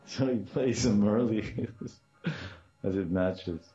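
Older man with a deep voice. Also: slow pace, dark tone, soft background music. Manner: storytelling with repeated phrases and Sanskrit terms. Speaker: devotional teacher. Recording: dull, slightly muffled lo-fi recording.

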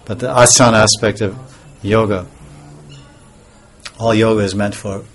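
A man giving an informal devotional talk as a spiritual teacher, soft-spoken and rambling, with pauses and restarts. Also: fairly low pitch, steady tone, slight background noise.

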